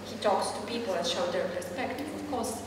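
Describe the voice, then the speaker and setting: A woman's voice: medium pitch, brisk. A middle-aged woman with a Polish accent speaking insistently as a journalist on a discussion panel. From Poland. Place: gallery room with small audience. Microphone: distant camera microphone. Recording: very echoey hall, distant, noisy.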